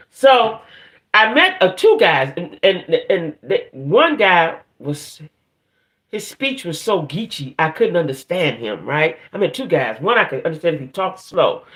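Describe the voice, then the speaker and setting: Female live-stream host, lower-register voice with very lively swings, playful, colloquial, rambling delivery, chatting casually with viewers. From northern United States. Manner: playful, rambling, colloquial, frequently checking in with "right?".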